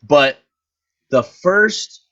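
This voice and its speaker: A man chats about films on a podcast, rambling and enthusiastic. His voice is bright and forceful, and he keeps stalling to search for names. The recording sounds dull and lo-fi. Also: on the high side, animated, drawn-out words. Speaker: podcast co-host. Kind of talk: casual chat about movies.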